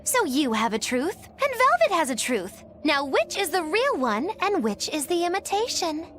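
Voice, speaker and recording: A woman's voice: sits high, big pitch swings, moderate pace, a bit bright, slight background noise. A woman playing a cheeky, needling little companion in a video game, with a teasing, theatrical delivery. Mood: teasing, probing. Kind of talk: English video game dub acting.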